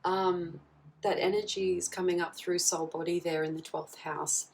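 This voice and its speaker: A woman with a husky voice from a recent flu, giving a casual tarot reading and often checking in with "okay?". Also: fairly low pitch, level tone, moderate pace. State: husky, getting over a flu. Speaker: tarot reader. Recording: slightly distant mic, faint background noise.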